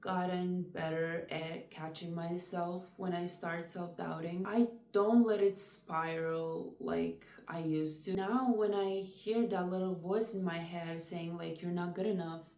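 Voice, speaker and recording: A young woman talking to camera about personal struggles in a soft, reflective way, with many "like" fillers. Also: lowish voice, fairly even intonation, unhurried, hardly any pauses, roomy sound, recorded from a distance. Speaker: vlogger.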